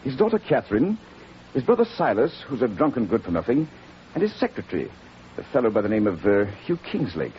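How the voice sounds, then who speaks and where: Actor as earnest physician, animated old-radio voice. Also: male voice, medium pitch, brisk, lightly acted. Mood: grave, urgent. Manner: hesitant, formal, earnest. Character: earnest, worried male country physician seeking help. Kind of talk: 1940s radio drama acting. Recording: dull, hissy old radio recording.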